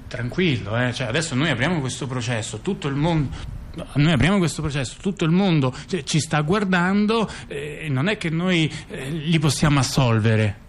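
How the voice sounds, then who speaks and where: A man's voice: on the high side, animated, normal speed, slight background noise. A man playing a scheming politician who reassures a crime boss in an insistent, repetitive, confidential way. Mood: insistent, calming. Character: scheming male politician coaxing and calming a nervous crime boss.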